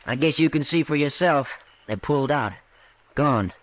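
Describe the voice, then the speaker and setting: A man's voice: a little high, normal speed, a little hiss or hum, natural voice. A man acting a stubborn, bitter, lovesick young frontiersman in a 1950s western radio drama. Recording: vintage radio drama recording.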